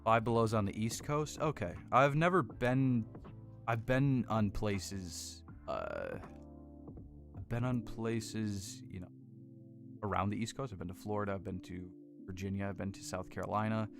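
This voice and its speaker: An American man with a deep voice chatting casually and rambling a little as he hosts a livestream on a close desk microphone. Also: animated, a little slow, gravelly, soft background music. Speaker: livestream host building model kits. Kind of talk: casual chat with live viewers. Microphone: close desk condenser microphone.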